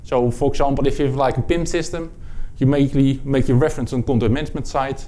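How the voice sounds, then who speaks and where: A man from the Netherlands with a Dutch accent, presenting a technical product talk at a conference, halting, with many fillers and restarts. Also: medium pitch, steady tone, dark tone. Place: conference room with audience.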